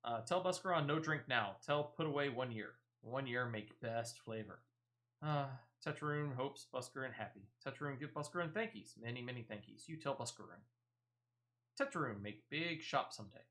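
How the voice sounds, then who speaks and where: A man's voice: mid-range voice, lively intonation, normal speed, a bit bright, natural voice. A man voicing an eager, simple creature trader from a video game, speaking in broken, repetitive third-person phrases. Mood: grateful, eager. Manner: broken third-person speech, repeating words. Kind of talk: character voice reading video game dialogue.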